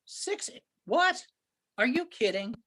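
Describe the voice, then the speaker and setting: A man's voice: very high-pitched, very animated, slow pace, sharp tone, heavily acted. A man playing an impatient, sarcastic, exasperated husband on vacation in an amateur staged play reading. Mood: incredulous, grudging. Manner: sarcastic, griping, incredulous.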